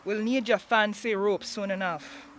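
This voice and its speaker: An impatient pirate sailor in a fantasy audio drama. The voice is high, loud and commanding, over faint background noise. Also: male voice, fairly even intonation, a little slow. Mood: barking, impatient. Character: bossy, impatient pirate sailor barking orders.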